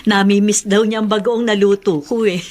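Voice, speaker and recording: A woman's voice: medium pitch, steady tone, normal speed, a little mellow, slight background noise, natural voice. An actress in a radio drama playing a fond, indulgent mother with a chatty manner. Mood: fond, amused. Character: fond mother, eager to be a grandmother.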